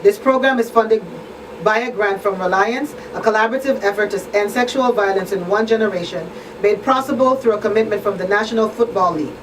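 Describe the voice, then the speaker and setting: A woman's voice: mid-range voice, fairly quick. A woman hosting a community radio talk show, speaking earnestly and repeating her points for emphasis. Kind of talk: casual panel chat. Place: radio studio. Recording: distant mic with clear background noise.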